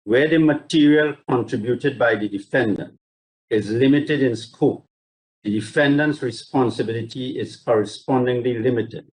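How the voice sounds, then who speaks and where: Deliberate, formal male barrister. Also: mid-range voice, a little mellow, rough recording. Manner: deliberate, measured, courteous and formal. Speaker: barrister addressing a court. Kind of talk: formal legal submission, quoting judgments. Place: remote court hearing over video link. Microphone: video call.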